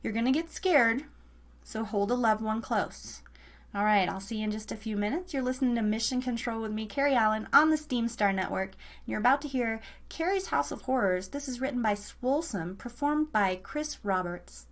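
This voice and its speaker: A woman's voice: fairly high pitch, moderate pace. A woman hosting a live horror story podcast, chatting casually and informally, repeating words for emphasis. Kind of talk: casual live show chat.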